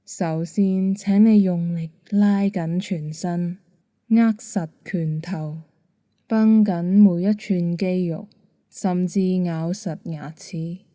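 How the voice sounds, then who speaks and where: A woman with a Hong Kong Cantonese accent, gently guiding a bedtime meditation in a calm, soothing manner. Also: fairly low pitch, slow, dry recording, studio quality. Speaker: meditation guide. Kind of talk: guided bedtime meditation narration.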